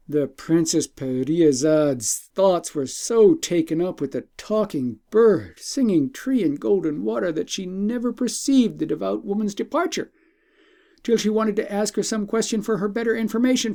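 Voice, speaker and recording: Male audiobook narrator for classic tales, relaxed warm tone, gravelly creak, scripted storybook reading. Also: a little high.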